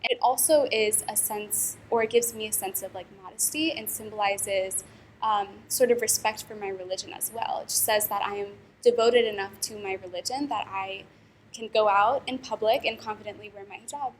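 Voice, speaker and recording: A woman's voice: mid-range voice, normal speed, a little hiss or hum, rough recording. A young woman of Pakistani background, a college student, answering interview questions articulately with casual fillers. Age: young adult.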